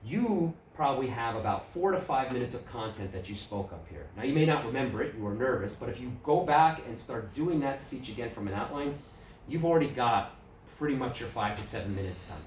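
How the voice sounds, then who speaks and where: A man's voice: medium pitch, animated, brisk. A man with a New York accent leading a public speaking workshop, talking casually and putting questions to the audience. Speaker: workshop presenter. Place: club meeting room. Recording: roomy, distant mic, faint room noise.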